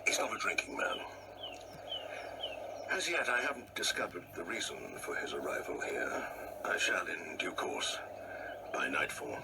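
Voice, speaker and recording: An actor playing a pompous, insecure old English husband in an absurdist radio play, irritable, domineering and halting as he repeats his words. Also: fairly low pitch, far from the mic, noisy background. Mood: guarded, evasive. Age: older.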